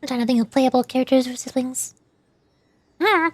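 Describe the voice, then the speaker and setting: A woman's voice: high-pitched, brisk, no room sound. A woman streaming a game, chatting casually and often wondering aloud in questions. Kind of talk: casual livestream chat and reading game dialogue aloud.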